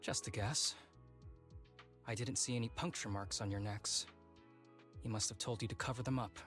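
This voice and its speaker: A man's voice: lowish voice, fairly even intonation, moderate pace, a bit bright, faint music behind, natural voice. A man voicing an easygoing, wry young male agent in a video game, with a casual, knowing manner. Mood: knowing, assured.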